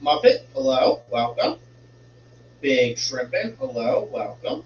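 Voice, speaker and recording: A man's voice: medium pitch, very animated. A man hosting a casual live stream from home, rambling while he fixes settings, sounding congested and a bit stuffy. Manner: slow, rambling, fiddles with settings while talking. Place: home room with a fish tank. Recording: roomy, distant, dull home stream with hum.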